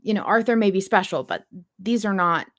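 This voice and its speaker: A woman's voice: medium pitch, fairly quick, fairly bright tone. A woman hosting a fan podcast in casual discussion, talking informally with the odd swear word. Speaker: podcast host.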